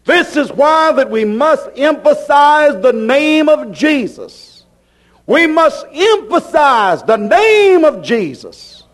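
A male preacher delivers a fervent sermon in a very high-pitched voice. His pitch moves widely as he declares each point emphatically. The delivery is slow and deliberate, with phrases repeated for weight. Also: very animated.

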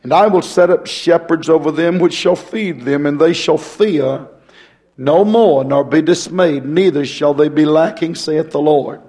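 A hoarse male pastor, talking folksy and rambling, then reading scripture in a rising preaching cadence. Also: a little high, unhurried, dark tone.

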